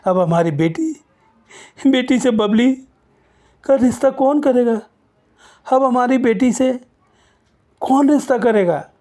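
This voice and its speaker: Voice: medium pitch, expressive, takes it slowly, long pauses, mellow, pitched above the performer's own voice. A narrator voicing a bitter middle-aged mother in a storybook tale, scolding and lamenting with insistent questions. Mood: tearful lament.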